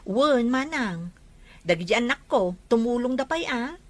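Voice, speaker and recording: A woman's voice: fairly high pitch, lively intonation, unhurried. A woman with an Ilocano accent playing a neighbourly village mother in a family drama. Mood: informing, proud. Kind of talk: amateur Ilocano radio-style family drama.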